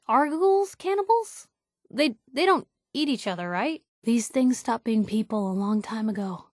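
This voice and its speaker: A woman acts out a plucky young woman reporter from a video game, in a fairly high voice. She is wry and sardonic, pushy yet caring, and talks conversationally. The recording is close and dry. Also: no room sound, close to an everyday voice. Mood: uneasy joking. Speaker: video game companion character. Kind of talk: scripted game voice lines.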